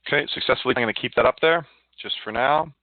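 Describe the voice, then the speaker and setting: A man giving a casual live software demonstration, chatty and informal, muttering to himself as he works. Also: medium pitch, fast talker, dry recording. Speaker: software demo presenter. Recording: narrow, phone-like line, dry.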